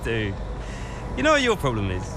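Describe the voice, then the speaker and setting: Cocky racing driver, lively pitch. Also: male voice, normal speed, noisy background. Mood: needling, smug. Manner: blunt, teasing, matey. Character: cocky, needling racing driver, brother to a rival sister. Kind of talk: naturalistic dramatic game cutscene acting.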